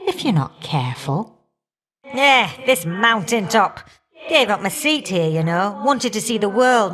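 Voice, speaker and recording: A woman's voice: mid-range voice, close to an everyday voice. Woman doing a fiery older goddess for a game, cheeky and folksy, teases you fondly. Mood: wry, grumbling. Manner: folksy, teasing, affectionate endearments and colloquial turns of phrase. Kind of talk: video game character voice acting.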